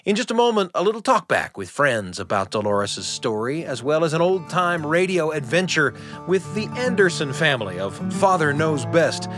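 A man's voice: fairly high pitch, sing-song, moderate pace, fairly bright tone, soft background music. A man hosting a storytelling radio show, moving between scripted links and studio chat on a close mic.

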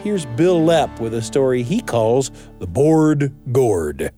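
A man's voice: mid-range voice, very animated, slow, mellow, soft background music. A man hosting a storytelling show, delivering a scripted, upbeat introduction.

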